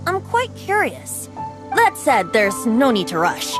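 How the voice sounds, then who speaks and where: A woman's voice: fairly high pitch, wildly swooping pitch, slow, full character voice. A woman voicing a youthful, boyish male bard in a video game, whimsical and theatrical, with a playful, wistful lilt. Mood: musing. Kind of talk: video game character acting. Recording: music behind the voice.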